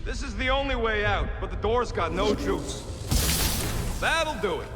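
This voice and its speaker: An actor playing a small, short-tempered raccoon mercenary in a video game, snappish, slangy and sarcastic. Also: male voice, high voice, lively intonation, unhurried. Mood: sarcastic, grumbling. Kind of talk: video game cutscene acting. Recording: loud music, long hall reverb.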